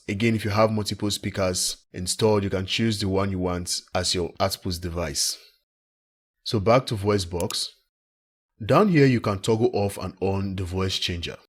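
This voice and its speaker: A man presenting a software tutorial in a plain, instructional way, recorded as a screen-recording voice-over. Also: low-pitched, slightly rough. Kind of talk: step-by-step software tutorial narration.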